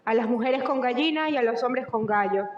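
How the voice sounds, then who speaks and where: A woman's voice: fairly high pitch, brisk, slight background noise. A middle-aged woman with a Venezuelan accent answering questions informally at a cooking workshop. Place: large echoey indoor room. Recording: echoey hall, muffled sound.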